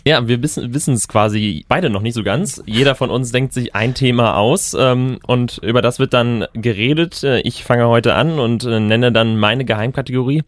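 A man's voice: medium pitch, normal speed, few pauses, no room sound. A young man co-hosting a student radio podcast, chatting casually with dry, wry asides. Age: young adult. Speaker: podcast co-host.

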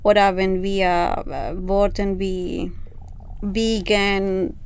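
Peruvian woman with a smooth voice. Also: medium pitch, very slow. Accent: Peruvian Spanish. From Peru. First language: Spanish. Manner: searching for words, animated. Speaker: Spanish teacher. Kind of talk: casual interview.

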